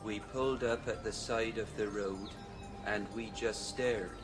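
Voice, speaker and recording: An actor playing a plodding, low-voiced labourer. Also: male voice, fairly even intonation, measured pace, over loud music, lightly acted. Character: dull male land worker recounting a sight. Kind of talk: radio drama acting.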